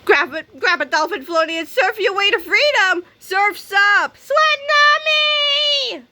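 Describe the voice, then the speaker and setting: A woman's voice: very high voice, expressive, takes it slowly, bright, forceful tone, voice pushed up, heavily acted. A woman voicing a triumphant cartoon superhero with a bold, proclaiming delivery.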